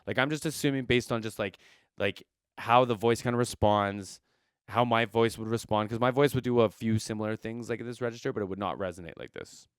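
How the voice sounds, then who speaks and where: A man's voice: on the low side, fairly quick, clean tone, dry recording, clean studio sound. A man hosting a reaction video who talks as a singer about vocal technique, rambling enthusiastically and repeating himself with many fillers. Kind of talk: casual commentary on a singing performance.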